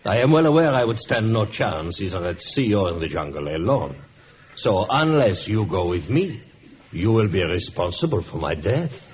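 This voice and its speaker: An actor playing a scheming, smug colonial lieutenant with formal, deliberate phrasing in a vintage radio drama. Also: male voice, on the low side, sing-song. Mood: smug, threatening. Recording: muffled, noisy old radio recording.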